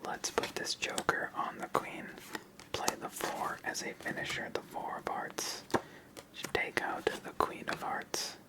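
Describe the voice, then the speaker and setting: Whispering ASMR card game host. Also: unhurried. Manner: methodical, explains moves step by step.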